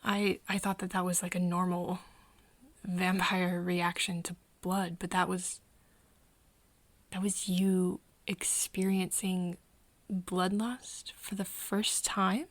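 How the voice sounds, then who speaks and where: A woman playing a hesitant, caring young human friend in a soft ASMR roleplay, breathy and often dropping into a whisper, speaking haltingly. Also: fairly low pitch, flat delivery, dry recording. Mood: tentative, curious. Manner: halting, hesitant, with nervous giggles. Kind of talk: soft ASMR roleplay acting addressed to the listener.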